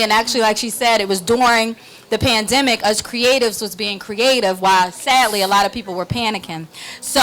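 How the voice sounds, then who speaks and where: Actress chatting in an interview, mid voice, sassy and sure of herself. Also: female voice, medium pitch, a bit bright, poor audio. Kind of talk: casual interview chat.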